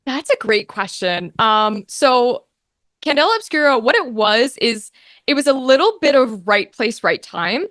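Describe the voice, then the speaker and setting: A young woman chatting casually as an interview guest, telling her story in an animated, informal way. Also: a little high, a little slow, dry recording, studio quality. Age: young adult. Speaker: guest, voice actor.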